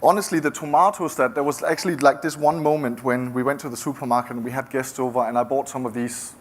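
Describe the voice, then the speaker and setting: A man's voice: medium pitch, fairly even intonation. Man, Danish accent, middle-aged, founder talk, hesitant restarts. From Denmark. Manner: conversational, halting with many restarts. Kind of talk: conference talk.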